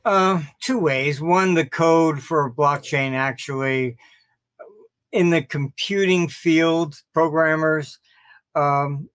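An older man giving informal expert commentary as an interview guest on a video call, halting yet emphatic and dismissive. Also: a little high, a bit bright, no echo. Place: home office, indoors.

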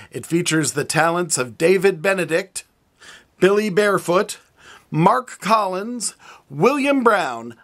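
A man's voice: sits high, fairly bright tone. A man reading a scripted programme introduction as an announcer.

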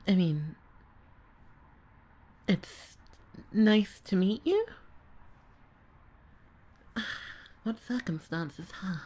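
A woman playing a young, lazy and easily flustered babysitter in an ASMR audio roleplay, with a breathy, hesitant delivery. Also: fairly low pitch, mellow. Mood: flustered, awkward, then awkward, curious. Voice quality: husky, breathy, often dropping to a near-whisper. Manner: hesitant, muttering, sighing, trailing off. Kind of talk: ASMR audio roleplay, solo character acting.

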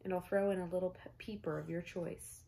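A young woman voicing a dramatic little boy who pleads and bargains over his toys, in a raised, excited storybook voice. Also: on the low side, a little fast, creaky. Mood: bargaining.

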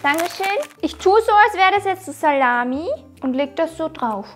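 A woman's voice: very high-pitched, animated, moderate pace, slight background noise. A woman in her twenties chatting casually as a vlog co-host, with a dramatic, mock-complaining manner. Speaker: YouTube co-host. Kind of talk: casual challenge vlog chat. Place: indoor room, seated at a table.

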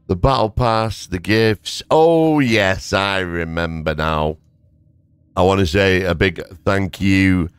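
A hoarse man giving casual, rambling gameplay commentary as a gaming YouTuber, thanking his viewers. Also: deep voice, dry recording.

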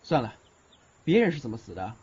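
A man's voice: mid-range voice, very animated, brisk, darkish tone, husky. A man acting an aloof, grumbling young university student in an audio drama, with a blunt, exasperated manner. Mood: accusing. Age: young adult. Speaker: lead actor in a Chinese audio drama. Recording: slightly dull recording with music behind.

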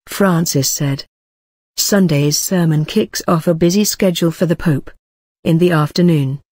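A news reader voice of middle pitch, delivering a written article with steady, uniform pacing. The sound is dry and close, with no room around it. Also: dry recording. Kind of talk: reading a news article aloud.

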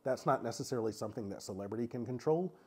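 A man chatting frankly in a casual review monologue, his voice raspy and congested from a cold, with lots of filler sounds. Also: medium pitch, racing, a little mellow. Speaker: vlogger reviewing a cruise.

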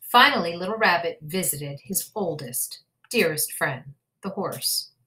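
A woman reads a picture book aloud for children in a librarian's manner. Her delivery is slow and deliberate, with a widely moving, expressive pitch, recorded indoors on a webcam mic. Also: poor audio. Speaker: school librarian reading aloud. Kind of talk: expressive children's storybook reading.

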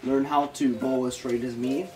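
A man's voice: medium pitch, level tone, normal speed, background noise. A young man making dry, offhand jokes with friends during a bowling video game. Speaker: gamer. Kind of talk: casual chat while gaming. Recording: music in the background.

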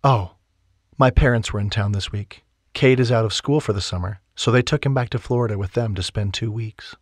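A man voicing a stressed, stubborn young woman, raising his pitch and speaking emphatically. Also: brisk, no echo, clean studio sound, close to an everyday voice. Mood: upset.

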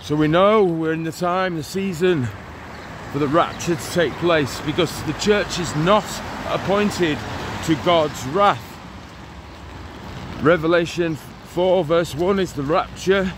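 A man in his forties preaches as he walks through town in the rain, his phone held out in front of him. His voice sits a touch high for a man, and his delivery is earnest and urgent, circling back over the same points. Clear traffic noise runs behind him. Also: slow. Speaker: street evangelist vlogger. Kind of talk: walk-and-talk preaching vlog. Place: outdoors on a town street in the rain. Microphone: phone held at arm's length. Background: passing traffic.

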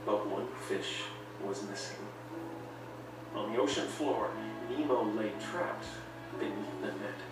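A man's voice: lowish voice, takes it slowly. A man reading a children's read-along story as a scripted narration. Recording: echoey, distant, with loud music. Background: loud music.

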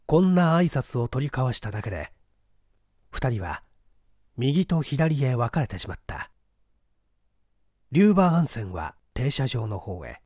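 Animated male audiobook narrator, lively intonation. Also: medium pitch, unhurried, long pauses, dry recording, studio quality. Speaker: audiobook reader. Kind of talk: scripted literary narration of a period detective novel.

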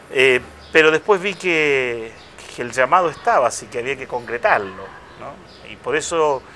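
A man in his fifties, a parish priest, speaks reflectively in a bright, forward voice, often pausing and restarting his sentences. He is recorded on a lapel mic at an outdoor restaurant patio. A faint hiss of outdoor ambience sits under his voice. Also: medium pitch. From Chile. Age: 50s. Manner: unhurried, reflective, with many hesitations and restarts. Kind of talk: casual interview conversation.

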